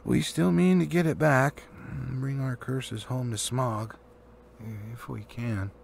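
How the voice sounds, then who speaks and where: A man's voice: mid-range voice, fairly even intonation, slow, vocal fry. A man voicing a grave, proud old male dwarf leader in a solemn, formal manner for a storybook. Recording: faint background noise.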